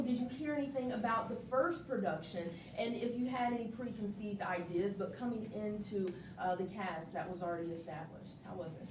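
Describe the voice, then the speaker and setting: A woman's voice: high-pitched, background noise. A woman hosting a casual group interview, affirming and encouraging the people she talks with. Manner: affirming, echoes and encourages speakers. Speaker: host interviewing a stage play cast. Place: indoor room with a group of people. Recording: echoey room, distant mic, crowd chatter.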